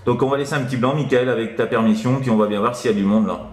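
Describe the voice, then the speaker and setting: A man's voice: medium pitch, racing, a little mellow. A man chatting casually as a CB radio operator, in a hesitant, rambling, chuckling way. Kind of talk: casual radio contact chat. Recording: roomy, echoing room sound.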